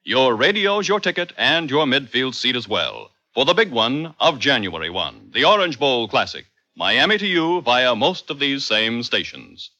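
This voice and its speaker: Male 1950s American radio announcer, crisp sell, mid pitch, animated delivery, dull vintage recording. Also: normal speed, few pauses.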